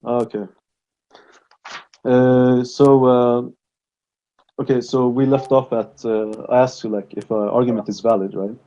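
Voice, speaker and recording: A young man in a casual online debate, speaking in a halting, defensive manner over a voice call. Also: mid-range voice, level tone, dark tone, muffled recording. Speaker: debate participant.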